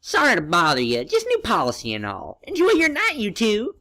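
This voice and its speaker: Effeminate male pony bartender, played by a woman, courteous and fussy, wildly animated intonation, audio story. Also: mid-range voice, fairly quick. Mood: apologetic.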